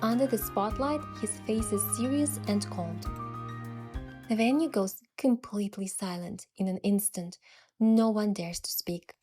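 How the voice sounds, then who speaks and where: A woman's voice: medium pitch, unhurried, warm tone, lightly acted. A young woman playing a sincere, sensitive heroine who narrates her story in a game, earnest and careful, with music behind her. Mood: composed, tense. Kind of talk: scripted first-person game narration.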